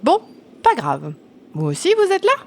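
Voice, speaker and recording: A woman's voice: high voice, wildly swooping pitch, normal speed, darkish tone, exaggerated voice. A woman playing a brusque, unimpressed host of unwanted visitors in an audio comedy, blunt and dismissive. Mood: puzzled. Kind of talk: comedic amateur audio drama in French.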